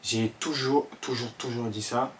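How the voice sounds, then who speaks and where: A man in his twenties from Ivory Coast with an Ivorian French accent, talking to camera in an earnest, halting way full of fillers. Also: medium pitch, steady tone, a little hiss or hum. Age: 20s. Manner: hesitant, many fillers and restarts, earnest. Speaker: vlogger. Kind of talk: casual talk to camera.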